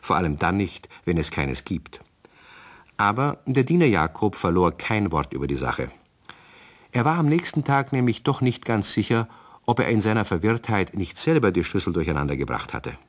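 A man narrating a children's radio play as a wry, measured storyteller. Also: lowish voice, very animated.

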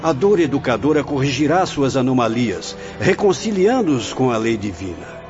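A man's voice: medium pitch, sing-song, normal speed. A Brazilian man playing a stern yet compassionate spiritual mentor in a radio drama, preaching solemnly in formal, rhetorical language. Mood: solemn, fatherly. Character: stern, compassionate male spirit mentor, a learned religious brother. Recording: dull lo-fi audio under music.

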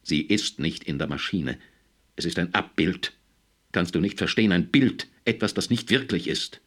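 A man's voice: deep voice, animated, normal speed, fairly bright tone. A man voicing a distraught historian, a stern husband barely keeping his composure, in an audiobook story. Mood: agitated anger.

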